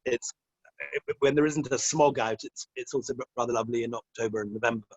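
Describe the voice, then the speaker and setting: A man's voice: mid-range voice, steady tone, a little fast, dry recording. Wry Scottish-born man on a dull line. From Scotland. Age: middle-aged. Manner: wry, rambling, self-deprecating, laughs often. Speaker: guest. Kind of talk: casual interview answers.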